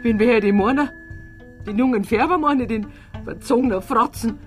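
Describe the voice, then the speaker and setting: An actress playing a blunt, stubborn, grieving middle-aged aunt with a Bavarian accent in a naturalistic radio drama. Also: female voice, on the high side, normal speed, soft background music, natural voice. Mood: bitter, accusing. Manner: blunt, insistent, wounded. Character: stubborn, indignant, grieving aunt, plain small-town Bavarian woman.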